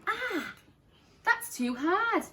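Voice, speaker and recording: A woman's voice: very high-pitched, big pitch swings, extremely slow, pushed and bright, slight background noise, voice pushed up, full character voice. A woman voicing a naughty little girl in a storybook, fussy and full of exclamations.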